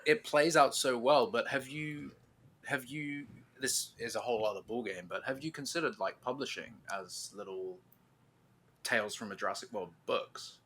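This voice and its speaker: A man's voice: medium pitch, moderate pace, fairly bright tone. A man hosting a casual podcast, slangy and encouraging, with some noise behind his voice. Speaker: podcast host. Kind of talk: casual chat.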